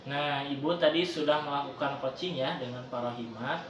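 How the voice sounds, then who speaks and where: A man gives semi-formal feedback as an observer. He is polite and conversational, with a medium-pitched voice. The room is echoey and noisy, and he is some way from the mic. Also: normal speed, a bit bright, distant mic.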